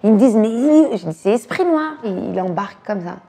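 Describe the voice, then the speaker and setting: A woman from Belgium in her thirties, talking casually and quickly about music and dance, using slang and repeating words. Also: mid-range voice, expressive, mellow, slightly rough. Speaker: actress and director talking about her influences. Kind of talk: casual chat.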